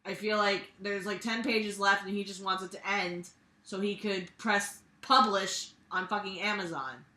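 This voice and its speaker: A woman's voice: mid-range voice, fairly even intonation, measured pace, pushed and bright. A sarcastic, exasperated woman reading a book aloud and mocking it with profane commentary and theatrical emphasis. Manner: theatrical, exaggerated emphasis with sudden outbursts. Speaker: host of a comedic book-reading series.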